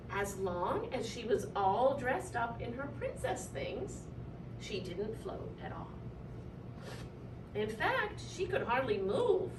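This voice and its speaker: A woman reading a children's picture book aloud in an animated, deliberate way with dramatic emphasis. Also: fairly high pitch. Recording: echoey room, distant mic, clear noise.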